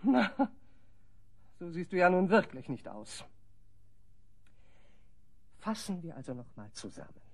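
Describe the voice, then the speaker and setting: Haughty, husky villainous lady. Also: fairly low pitch, big pitch swings, unhurried, long pauses, heavily acted. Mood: scornful, outraged. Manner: haughty, scolding, theatrically indignant. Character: imperious, furious lady ruler of a misty forest fortress. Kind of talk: German audio play acting.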